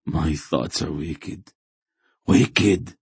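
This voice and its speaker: A man's voice: lowish voice, measured pace, a little mellow, slightly rough, dry recording. A man voicing a fervent, guilt-ridden religious fanatic in an audiobook, intoning and pleading. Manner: intoning, groaning, beseeching.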